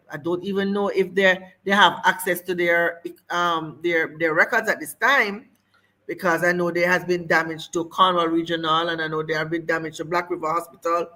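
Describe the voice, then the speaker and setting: Woman host, crisp bright tone, hesitant interview questions. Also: on the low side. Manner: hesitant, circling phrasing with many fillers.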